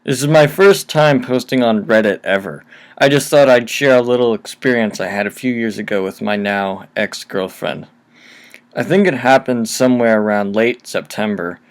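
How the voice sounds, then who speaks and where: A man reading first-person horror stories aloud in a steady, low-key storytelling manner, recorded on a cheap microphone. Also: moderate pace, clear, resonant tone. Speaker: narrator.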